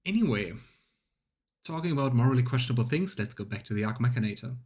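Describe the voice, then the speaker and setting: A man's voice: medium pitch, lively intonation, fast talker, darkish tone, slightly rough. A man giving casual live game commentary, musing and grumbling as he goes. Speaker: let's play streamer. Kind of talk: casual live game commentary, sometimes reading game text aloud.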